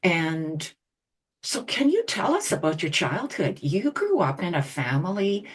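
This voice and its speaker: Slow, low-voiced husky woman host. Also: sing-song. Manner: measured, thoughtful questioning. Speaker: podcast host. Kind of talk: interview questions.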